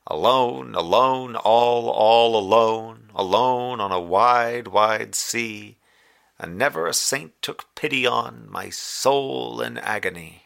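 A man playing a lonely, guilt-ridden communications officer stranded on a moonbase, speaking a halting, brooding distress log. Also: fairly bright tone. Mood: anguished. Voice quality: low, gravelly. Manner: halting, brooding, drifting into recited poetry. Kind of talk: audio drama distress-log monologue.

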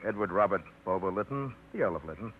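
Dry, low-voiced truck-line man. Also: animated, fast talker. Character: blunt, well-read truck-line man, war veteran. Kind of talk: 1950s radio drama acting. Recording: tinny, hissy 1950s radio broadcast.

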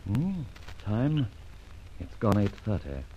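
An actor playing a suave, mocking masked gentleman adventurer in an old radio serial, polished and drily ironic, with courteous menace. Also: fairly low pitch, huge pitch swings, takes it slowly, a little hiss or hum, full character voice. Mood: cool, wry. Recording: muffled old radio drama recording.

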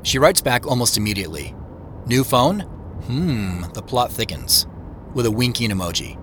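An actor playing a thoughtful, nervous man, narrating an audio drama in the first person in a measured, intimate way. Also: medium pitch, animated, noisy background, lightly acted. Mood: reflective. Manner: measured, reflective, intimate storytelling. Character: Joseph, thoughtful, nervous man retracing family memories. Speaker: narrator and lead character. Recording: music under the voice.

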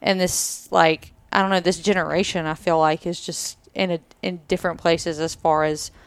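Woman mid twenties on a podcast, smooth voice, dry mic, kinda thinks out loud and hedges. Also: fairly low pitch, little pitch movement, moderate pace, dry recording. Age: 20s. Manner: candid, thinking aloud with hedges. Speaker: podcast co-host, married to co-host. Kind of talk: casual podcast conversation. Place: trade expo event.

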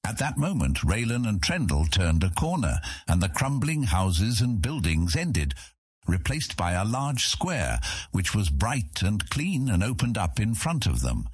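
A man's voice: fairly low pitch, big pitch swings, a little slow, slightly rough, dry recording, clean studio sound. A man reading scripted fantasy narration as an audiobook narrator.

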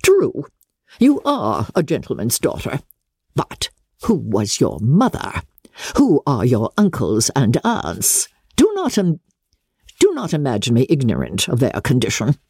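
A woman's voice: a bass voice, wildly swooping pitch, unhurried, a little mellow, slightly rough, no room sound, studio quality, pitched below the performer's own voice, heavily acted. A woman reading a classic novel aloud, voicing an imperious, indignant older aristocratic lady with pompous, emphatic delivery. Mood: scornful, demanding. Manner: pompous, declamatory, emphatic, formal. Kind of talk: dramatic audiobook reading of classic literature.

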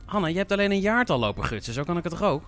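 An actor playing a wry, sarcastic adult son in a naturalistic radio drama. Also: male voice, sits high, sing-song, racing, fairly bright tone, faint music behind. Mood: teasing. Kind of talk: naturalistic Dutch audio drama acting.

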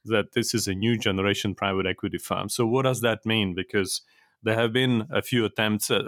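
Host: man, lowish voice, dry close sound, conversational with halting restarts. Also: fairly bright tone, no room sound. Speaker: podcast host. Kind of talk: interview hosting.

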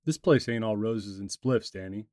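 A man playing a gruff, weapon-happy ghost hunter in an audio drama. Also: fairly low pitch, normal speed, mellow, dry recording, studio quality, lightly acted.

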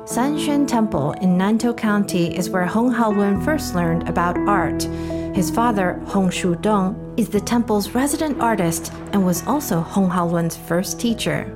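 A woman narrating a news feature in a measured, scripted reading voice. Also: medium pitch, animated. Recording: narration over loud music.

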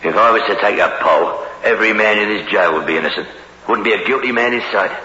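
Deep, hard-edged menacing gangster convict. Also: male voice, fast talker, bright, forceful tone. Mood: gruff. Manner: rough underworld slang, bullying and cajoling. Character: hardened, menacing career criminal convict, male. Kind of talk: 1960s radio suspense drama acting. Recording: roomy, muffled, noisy old radio recording.

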